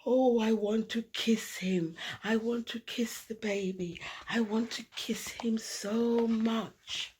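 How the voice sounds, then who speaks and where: A woman voicing a doting, eager uncle in a storybook, cooing in a breathy, drawn-out way. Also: fairly high pitch, steady tone, darkish tone. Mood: adoring, eager.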